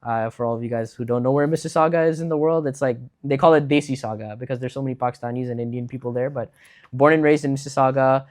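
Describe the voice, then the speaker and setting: A young man in his twenties talks at a brisk, hurried clip, as a guest on a podcast. His speech is relaxed and casual, full of likes, uhs and little restarts. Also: medium pitch. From Canada. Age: 20s. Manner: relaxed, filler-heavy, with frequent likes and restarts. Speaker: podcast guest, food blogger. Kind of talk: casual conversational interview.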